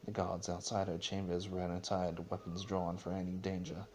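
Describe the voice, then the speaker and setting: A man narrating a story as a dramatic reading, in a solemn and somewhat halting way. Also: sits low, completely flat delivery, darkish tone, slight background noise.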